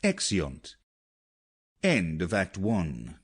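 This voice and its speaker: A male audiobook narrator announces acts, scenes and directions. His voice is a touch low and slightly husky, with animated intonation. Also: drawn-out words.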